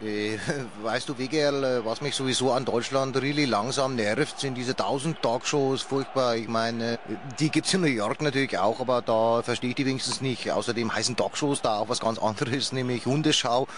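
A rambling, hesitant man dubs football clips in an average-pitched voice, full of ums and half-swallowed chuckles. Faint background noise. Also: moderate pace, few pauses. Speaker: comedy dubber. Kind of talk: comic dub of football footage.

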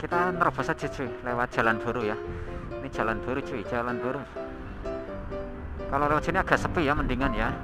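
A young man, chatting casually as he rides a motorbike through city traffic, recorded on a helmet camera mic over loud background music. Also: mid-range voice, fast, long pauses. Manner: chatty slangy banter, frequent laughs. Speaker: motovlog host. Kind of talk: casual riding commentary. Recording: road wind noise with loud background music.

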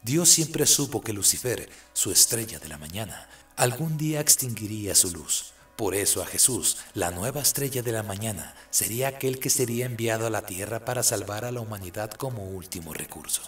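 A man narrates a radio drama in a low voice. His delivery is scripted, solemn and dramatic, with music playing behind him. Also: animated, moderate pace, hardly any pauses, fairly bright tone.

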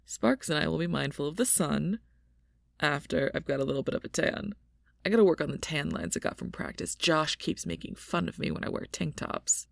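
A woman's voice: deep voice, fairly quick, creaky, dry recording, clean studio sound, heavily acted. A woman voicing a playful, laid-back sporty young woman with a jokey drawl in an audio roleplay. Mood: teasing. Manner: jokey, easygoing drawl with puns.

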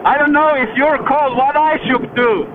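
A man's voice: very high voice, moderate pace. A man with an Eastern European accent, chatting and joking casually with a friend as a sweary motorcycle vlogger. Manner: swearing freely, jokey. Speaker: motorcycle rider hosting a vlog. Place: outdoors riding a motorcycle. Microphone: helmet headset. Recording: tinny, phone-like helmet intercom with road noise.

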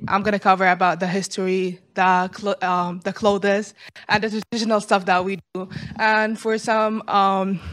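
A young woman from Palestine gives a class speech in a library room. Her voice sits at a middle pitch but stays fairly level in tone, and she talks casually, stumbling now and then over her phrasing. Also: a bit bright. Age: young adult. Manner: casual, halting, with slips in phrasing. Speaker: student giving a speech.

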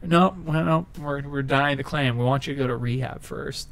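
A man's voice: medium pitch, brisk. A man in casual podcast chat on a couch, talking loosely and bluntly with casual swearing into a handheld mic. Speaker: podcast co-host. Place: living room couch. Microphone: handheld mic close to mouth.

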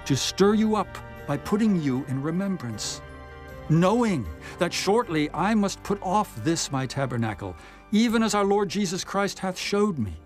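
Guy reading the bible all solemn and measured, voice a bit on the high side, soft music behind him. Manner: solemn, measured, emphatic phrasing. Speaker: Bible narrator. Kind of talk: dramatized scripture reading. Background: background music.

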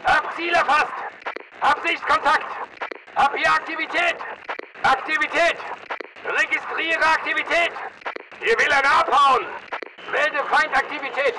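A hardened video game soldier, pitched very high for a male voice. He fires off clipped, urgent military orders and reports with disciplined aggression. He sounds some way from the mic, with loud noise all around him. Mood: focused, businesslike. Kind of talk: video game battle chatter, military barks. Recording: distant-sounding, heavy noise behind.

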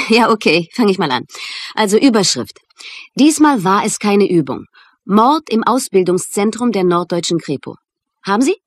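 A businesslike newspaper journalist with a medium-pitched voice. She dictates copy crisply and matter-of-factly, as in a radio crime drama, in a very dry studio sound. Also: sing-song, moderate pace, no echo. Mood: brisk, businesslike. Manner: matter-of-fact dictation, clearly articulated.

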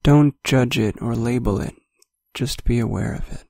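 A man leading a guided meditation, speaking slowly and softly with long pauses between phrases. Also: medium pitch, creaky, no echo, studio quality. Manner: slow, soft-spoken, with long pauses.

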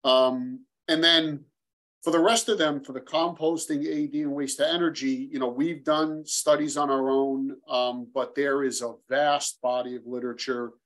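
A man's voice: mid-range voice, steady tone, moderate pace, fairly bright tone, poor audio. A man joining a panel by video call, explaining his figures at length with hesitant fillers. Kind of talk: answering audience question. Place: home room, video call.

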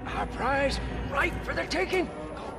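A man's voice: very high voice, animated, a little slow, vocal fry, over music, heavily acted. A man voicing a manic, devout mechanic sidekick in a video game, fawning and repeating himself with feverish preacher-like zeal. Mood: excited, urging. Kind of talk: video game character acting.

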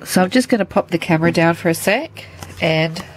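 A woman's voice: lowish voice, brisk, slight background noise, low-quality recording. A woman with a stuffy, nasal voice from a cold, chatting casually while she shows her pets to a handheld camera at home. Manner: chatty, explains as she handles the animals. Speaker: pet keeper showing her animals. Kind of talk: casual show-and-tell vlog. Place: indoors at home, by a terrarium.

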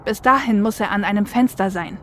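A woman's voice: medium pitch, fairly quick, fairly bright tone, close to an everyday voice. A woman as a level-headed runner, faint car noise. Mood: focused planning. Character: level-headed, analytical woman shadowrunner and getaway driver. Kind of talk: fan audio drama acting.

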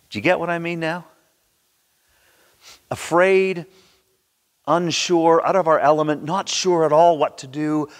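Older male preacher in a reverberant hall. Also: a little high. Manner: theatrical, emphatic delivery with dramatic pauses. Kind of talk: sermon. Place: large church sanctuary, indoors at a pulpit.

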